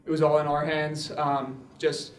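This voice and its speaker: A man's voice: fairly high pitch, little pitch movement, unhurried, echoey room. A man in his twenties, a college athlete, answering an interview question off the cuff. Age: 20s. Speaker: college lacrosse player.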